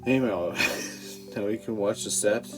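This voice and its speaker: A man chatting casually in a halting, rambling, profane way. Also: lowish voice. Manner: halting, profane, frequent throat-clearing. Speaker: amateur stand-up comedian. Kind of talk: rambling casual vlog chat. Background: guitar music.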